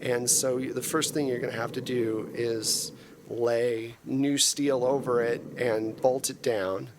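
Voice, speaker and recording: A man's voice: mid-range voice, steady tone, unhurried, noisy background. A man casually narrating and running a tabletop role-playing game as game master.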